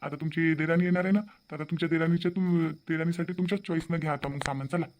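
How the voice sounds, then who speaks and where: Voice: medium pitch, fairly even intonation, fast talker, darkish tone, a little hiss or hum, natural voice. A voice actor playing an easygoing young son in a cartoon, cheerful and persuasive. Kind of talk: cartoon voice acting.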